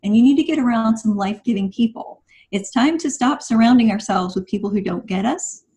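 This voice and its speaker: A woman's voice: on the high side, a little fast, mellow. A woman speaking as a podcast guest, giving motivational advice in an emphatic, instructive and encouraging way.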